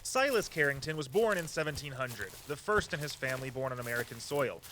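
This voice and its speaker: An actor playing an eager, earnest walking-tour guide who tells local history with a storyteller's flair. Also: male voice, mid-range voice, animated, a little fast, pushed and bright, slight background noise, poor audio. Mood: theatrical, storytelling. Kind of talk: audio drama dialogue, storytelling tour patter.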